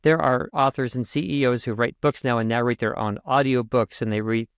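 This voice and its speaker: A man speaks as a guest coach in an interview, animated and quick to joke, and his voice jumps upward when he stresses a point. His pitch sits in an ordinary middle range, and the sound is dry, with no room echo. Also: clean tone. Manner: animated and joking, big pitch jumps for emphasis. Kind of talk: conversational interview.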